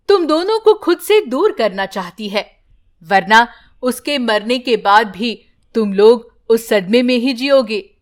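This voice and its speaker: A woman voicing a wise, gentle magic jar that calmly explains the truth. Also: fairly high pitch. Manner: calm, explaining.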